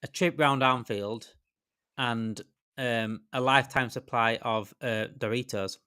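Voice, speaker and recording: A man in a casual football chat, speaking wryly with halting, restarted phrases. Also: mid-range voice, steady tone, bright, forceful tone, clean tone, no echo, studio quality. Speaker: football fan show panelist.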